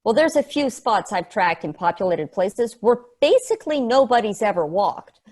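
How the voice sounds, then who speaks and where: A woman's voice: medium pitch, big pitch swings, moderate pace, darkish tone. A woman playing a sardonic, passionate space probe in a table read, casual, rambling and sweary. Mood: wry, ranting. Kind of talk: conversational acting in a script table read.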